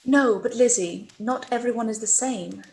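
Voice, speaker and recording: A woman playing a gentle, trusting young gentlewoman in a period radio play, mild and reasoning in formal speech. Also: a little high, normal speed. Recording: echoey room with clear background noise.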